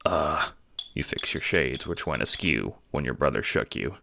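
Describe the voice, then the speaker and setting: A man's voice: a bass voice, lively intonation, moderate pace, creaky, sharp tone, slight background noise. A man narrating a scripted second-person audiobook drama.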